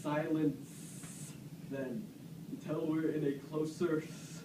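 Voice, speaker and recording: A man playing a stern, aggrieved animal commander in an amateur puppet play, speaking haltingly, word by word. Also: fairly high pitch, flat delivery. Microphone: far camera. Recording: echoey classroom, distant mic, clear noise.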